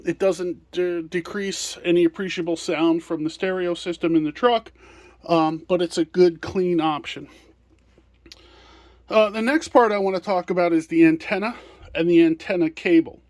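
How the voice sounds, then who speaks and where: A man presenting products in an informal step-by-step tutorial, explaining in a practical, somewhat halting way. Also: high-pitched, no room sound. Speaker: product presenter and small business owner.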